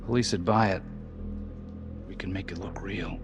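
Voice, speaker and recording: A man plays a death-row prisoner in a cinematic crime game, with a low voice. He is intense and manipulative, pressing his case earnestly over clear background music. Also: steady tone, moderate pace, close to an everyday voice. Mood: urgent, persuasive.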